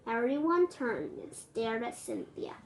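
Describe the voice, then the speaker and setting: Kid reading a story out loud, takes it slow, voice goes up and down a lot. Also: mid-range voice, big pitch swings, gravelly. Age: child. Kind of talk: reading a picture book aloud.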